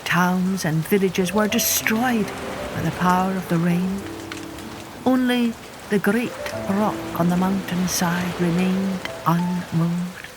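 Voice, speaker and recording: Slow-paced woman telling stories over music. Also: fairly low pitch. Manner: measured, expressive storyteller. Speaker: storytelling podcast host. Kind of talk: scripted storybook narration.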